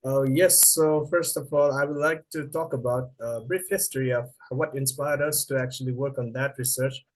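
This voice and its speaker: A man's voice: medium pitch, steady tone, normal speed, poor audio. A young man with a Nepali accent, answering interview questions as a researcher, a little hesitant with fillers and restarts.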